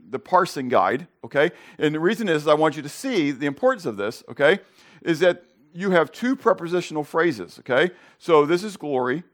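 A middle-aged male pastor preaching a sermon with Greek word study, conversational and peppered with "okay?" and rhetorical questions, rising louder on key points. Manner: rises louder and higher on emphasis.

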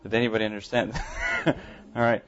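A man in his thirties from South Africa, an Afrikaans speaker with a mild Afrikaans accent, giving his testimony from the pulpit of a church in an earnest, halting way with many ums. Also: lowish voice, little pitch movement, a bit bright, lo-fi sound. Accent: Afrikaans-accented English, mild. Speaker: visiting pastor. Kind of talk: sermon testimony to a congregation. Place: church sanctuary.

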